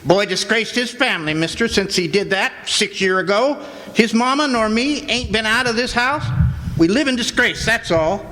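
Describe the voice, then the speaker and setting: A man playing an ashamed old father with halting, folksy rural speech in a radio drama. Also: high-pitched, lively intonation, bright, forceful tone. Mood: bitter, ashamed. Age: older. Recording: echoey hall, noisy stage pickup with clicks.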